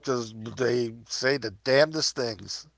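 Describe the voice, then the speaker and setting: A man hosting a casual podcast chat, easygoing and quick with asides. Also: mid-range voice, vocal fry, no room sound. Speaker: podcast host.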